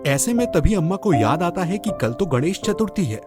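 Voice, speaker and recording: Animated male storyteller over music. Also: sits high, normal speed. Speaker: story narrator. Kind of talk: expressive scripted storytelling narration. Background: background music.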